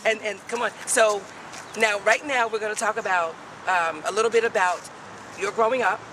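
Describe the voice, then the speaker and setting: A woman's voice: medium pitch, steady tone, normal speed. Chatty upbeat woman host interviewing outdoors, off-mic, traffic noise behind. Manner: chatty, upbeat, self-deprecating. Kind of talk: street interview. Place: outdoors in a city. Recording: distant mic with street noise.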